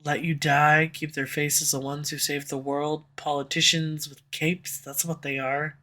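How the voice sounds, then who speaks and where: A woman voicing a sharp-tongued, tsundere female villain who swears and speaks sarcastically, recorded close on a studio condenser mic. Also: low voice, flat delivery, unhurried. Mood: confessional. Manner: sarcastic, swears, turns confessional. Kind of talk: audio roleplay. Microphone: studio USB condenser mic.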